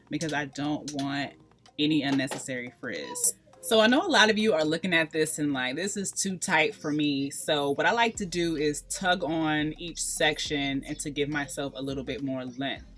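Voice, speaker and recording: A woman's voice: sits low, a bit bright. A woman in her thirties walking viewers through a hair tutorial in a casual, emphatic way, pleading with them to be patient. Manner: emphatic, coaching viewers with repeated pleas. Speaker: natural hair vlogger. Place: small bright room indoors.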